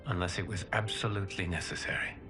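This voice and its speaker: An actor playing a solemn god who protects a realm, speaking formally and with measure in a cinematic fantasy game. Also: male voice, deep voice, fairly even intonation, fast talker, over music, lightly acted. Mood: earnest, reflective.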